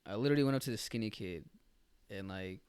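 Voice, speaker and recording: A young man swaps stories on a podcast in a smooth, lowish voice, recorded dry and close. His slangy storytelling jumps between loud bursts and quiet asides. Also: fast, dry recording. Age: young adult. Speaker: podcast co-host. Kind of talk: casual chat.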